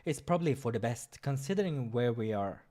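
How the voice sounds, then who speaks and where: A man's voice: medium pitch, lively intonation, a little fast, mellow. A man hosting a playthrough, narrating a visual novel with playful, amused and crude casual commentary. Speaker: host of a game playthrough. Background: music.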